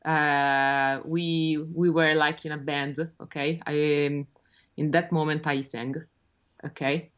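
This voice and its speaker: A woman's voice: deep voice, flat delivery, slow, smooth. A young woman from Rome with an Italian accent, a guest on a video-call interview, speaking hesitantly with many fillers and frequent laughs. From Rome, Italy. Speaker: guest bassist. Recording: lo-fi dull call audio.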